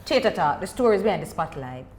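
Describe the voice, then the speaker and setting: A woman's voice: fairly low pitch, sing-song, fast, very noisy. A woman with a Jamaican accent, a cast member in casual, playful banter. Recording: echoey room with clear chatter behind.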